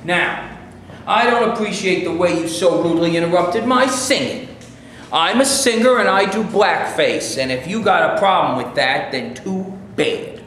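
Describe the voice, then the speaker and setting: A man reading a brash, dismissive minstrel singer with a high voice. He is heard from far off in an echoey hall with faint noise behind him. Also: moderate pace, a bit bright. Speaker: actor in a staged reading. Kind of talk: amateur stage reading.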